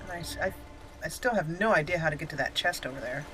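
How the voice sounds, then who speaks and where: A woman giving playful, expressive let's play commentary, chatting and thinking aloud as she explores a game. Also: low voice, fast, over music, poor audio. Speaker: gaming video host.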